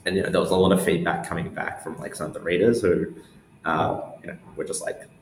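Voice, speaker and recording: A man's voice: low-pitched, little pitch movement, fast talker. A man giving casual interview answers in a hesitant, meandering way, with lots of "like". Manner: hesitant, meandering, heavy use of "like". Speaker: interview guest, newsletter founder. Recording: roomy echo with faint background noise.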